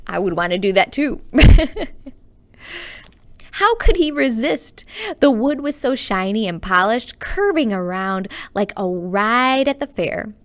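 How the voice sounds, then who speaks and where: Female children's librarian, expressive storybook read-aloud, animated and conversational with young listeners. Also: mid-range voice. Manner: animated, chatty, addressing young listeners directly.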